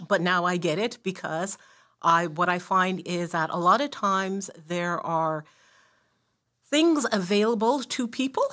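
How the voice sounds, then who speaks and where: A woman in her sixties with a slightly low voice shares her family history as a podcast guest. She talks in a relaxed, conversational way and often checks in with her listener. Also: a little slow. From Montreal, Canada. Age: 60s. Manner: conversational storyteller, frequent "uh" and "right?". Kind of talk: casual interview. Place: community studio.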